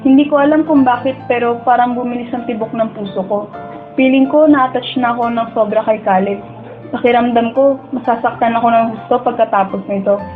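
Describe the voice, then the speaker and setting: A woman's voice: a little high, fairly even intonation, fairly quick, lightly acted. A woman with a Filipino accent playing a wistful, lovestruck young student who narrates her dreams in a radio drama. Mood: reflective. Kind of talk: first-person radio drama narration and dialogue. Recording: dull lo-fi audio, music bed. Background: background music.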